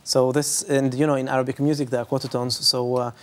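A man's voice: mid-range voice, level tone, fast. Hesitant man. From Lebanon. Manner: hesitant, many fillers and restarts. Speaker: musician guest. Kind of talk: casual interview answers. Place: bright room with big windows, indoors. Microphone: lapel.

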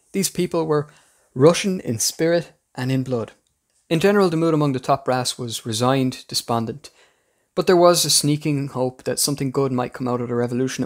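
A man reading a scripted history narration as the host of a podcast. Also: mid-range voice.

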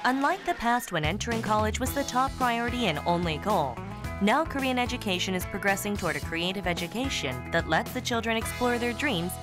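A woman reading a documentary narration in an even, formal manner. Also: mid-range voice, fairly quick. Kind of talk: scripted narration. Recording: voice-over with music underneath.

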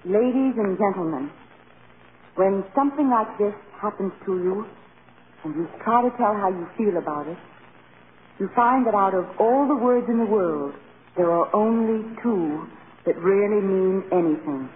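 An actress playing an earnest, anxious young film star in a vintage radio drama, speaking tenderly and hesitantly. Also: female voice, medium pitch, lively intonation, moderate pace, phone-quality sound, close to an everyday voice. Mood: earnest, moved. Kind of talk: 1930s-40s radio drama acting. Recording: thin, noisy vintage radio recording.

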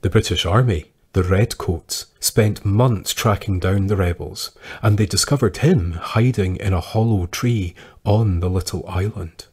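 A man reading scripted historical tales as a podcast narrator, measured and with dramatic pauses. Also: low-pitched, a little mellow, breathy edge.

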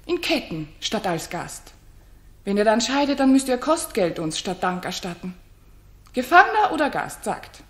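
A woman's voice: on the high side, sing-song, normal speed. An actress playing a gracious, witty queen in a 1960s German radio drama, with playful, courtly eloquence. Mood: playfully insistent. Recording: roomy 1960s radio studio.

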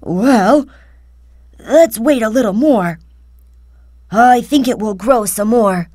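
A woman voicing a cheerful, patient old grandfather farmer in a storybook, with drawn-out, expressive delivery. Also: a little high, no room sound, studio quality. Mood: patient, content.

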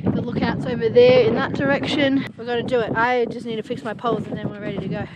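A woman vlogging outdoors in the snow, chatting upbeat to a handheld camera, with wind rushing over the mic. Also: on the high side, flat delivery. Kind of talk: casual travel vlog chat. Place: outdoors on a windy snowy mountain. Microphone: handheld action camera.

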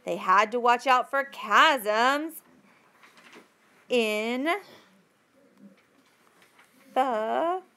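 A woman with a fairly high voice reads a school passage aloud. She goes very slowly, phrasing each part carefully and deliberately, in the style of a teacher reading to learners. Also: bright, forceful tone. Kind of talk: slow read-aloud of a textbook passage.

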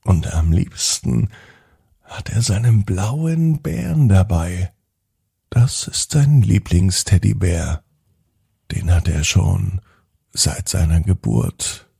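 A man narrates a children's bedtime story in a gentle, deliberate way with pauses. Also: low voice, expressive, vocal fry, slightly rough.

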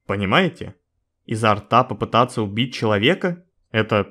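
A man's voice: on the low side, lively intonation, normal speed, pushed and bright. A man giving a scripted video narration in a wry, joking, informal way, with some swearing.

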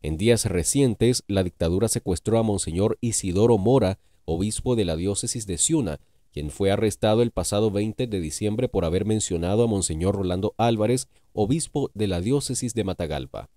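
A man reading scripted news narration in a formal, measured way. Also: on the low side, mellow, no room sound.

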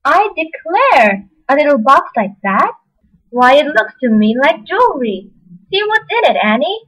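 A woman's voice: sits high, very animated, unhurried, fairly bright tone, heavily acted, poor audio. A woman playing a doting, fussy motherly figure in an amateur radio play, effusive with homely exclamations. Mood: amazed, delighted. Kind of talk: old-time radio play acting by an amateur.

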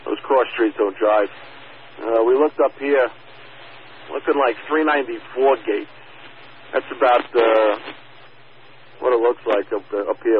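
A man dispatches fire crews in clipped, procedural radio talk. His voice sits at a middle pitch. The audio is thin and phone-like, with faint noise behind it. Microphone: two-way radio system.

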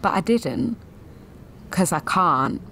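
A woman's voice: fairly low pitch, expressive, takes it slowly, a bit bright, a little hiss or hum. An actress in her twenties playing a jaded, sweary, sensitive gallery attendant in a confessional audio drama monologue, wry and self-deprecating. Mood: exasperated. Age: 20s.

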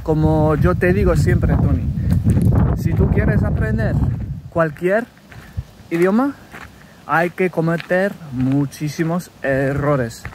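A man in his thirties from England, his native tongue English, talking to himself in a halting, self-correcting way while walking a wooded path, filming on a phone held at arm's length. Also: fairly high pitch, background noise. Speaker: language learner recording a practice podcast. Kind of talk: casual spoken practice monologue. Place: wooded countryside path outdoors.

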